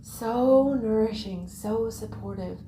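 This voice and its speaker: Female guided-meditation narrator: soft-edged mellow tone, unhurried short phrases, roomy echoing recording. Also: on the high side, a little hiss or hum. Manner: unhurried, short separated phrases, breathy emphasis. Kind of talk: guided visualization, meditative first-person narration.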